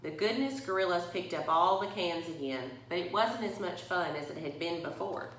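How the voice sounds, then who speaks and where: Lowish-voiced woman reading in an echoey room. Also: moderate pace. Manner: expressive, addresses young listeners directly. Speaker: story reader for a church. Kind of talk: children's bedtime story read aloud.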